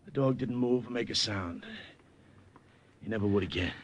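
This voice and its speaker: An actor playing a tough, wry young American secret agent, narrating and acting in old radio drama style. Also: male voice, lowish voice, moderate pace, natural voice. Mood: frantic, grim. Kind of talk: 1940s-50s radio drama acting and first-person narration. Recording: old radio recording, music behind.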